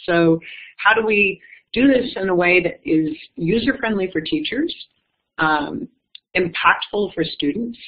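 A woman leading a foundation, explaining its programs in a measured panel talk with some self-corrections. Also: fairly low pitch, breathy edge. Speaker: executive director of an education foundation. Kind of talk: panel talk answering audience questions. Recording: tinny phone-like line.

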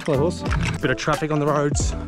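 A man's voice: a little high, fairly even intonation, fast talker. A man chatting casually to his camera while hiking a mountain trail, joking and sarcastic. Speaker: travel vlogger. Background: music.

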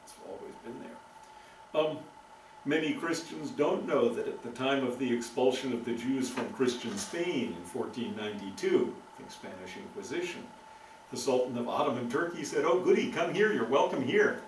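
An older man giving a relaxed, thoughtful lecture with frequent ums, recorded by a far camera in a meeting room. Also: mid-range voice, moderate pace, echoey room, slight background noise. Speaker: guest lecturer. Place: meeting room with green walls.

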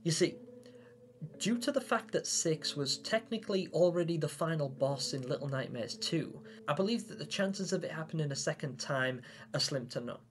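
A young man hosting a video, giving theatrical, wordy commentary to viewers about game theories. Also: on the high side. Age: young adult. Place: small home room, indoors. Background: background music.

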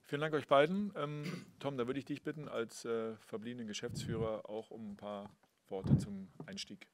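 A man moderating a press conference, brisk and polite as he hands the word on. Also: medium pitch, heavy background noise.

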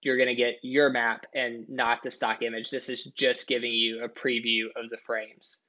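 A man's voice: mid-range voice, flat delivery, normal speed, sharp tone, rough recording. A man giving a casual, step-by-step screen-recording tutorial voice-over as the presenter of a product walkthrough.

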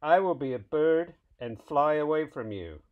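A man's voice: a little high, expressive, a little slow, phone-quality sound, voice pushed up. A man voicing a small, restless young male bunny with a bold, declaring storybook delivery. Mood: defiant, playful.

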